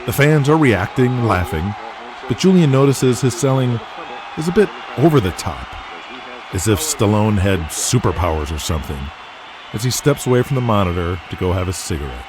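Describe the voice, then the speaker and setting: A man's voice: fairly low pitch, sing-song, normal speed, background noise. A man reading scripted dramatic narration in the present tense as the narrator of an audio drama.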